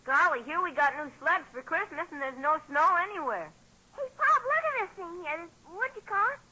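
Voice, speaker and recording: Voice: very high-pitched, animated, fairly quick, bright, forceful tone, heavily acted, rough recording. A performer playing an eager, trusting young boy in 1940s radio comedy-drama, wide-eyed and insistent, full of boyish slang. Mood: curious. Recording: 1940s radio broadcast recording.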